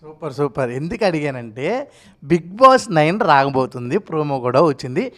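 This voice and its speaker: A woman's voice: deep voice, big pitch swings, moderate pace, a bit bright. A woman interviewing in a chatty, effusive and encouraging way.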